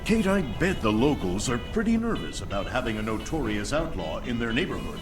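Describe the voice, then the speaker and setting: A man's voice: medium pitch, very animated, brisk, music in the background, close to an everyday voice. An actor playing a bombastic, slanted American TV anchorman in a game cinematic, slick and sensationalist. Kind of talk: parody news broadcast in game cinematic.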